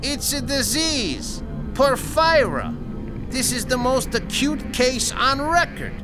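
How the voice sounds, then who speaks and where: Smug henchman, high animated voice. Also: heavily acted. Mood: explaining, intense. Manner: ghoulishly casual, taunting, drawn-out phrasing. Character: gloating, matter-of-fact male henchman, captive but smug. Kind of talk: audio thriller acting. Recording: music behind the voice.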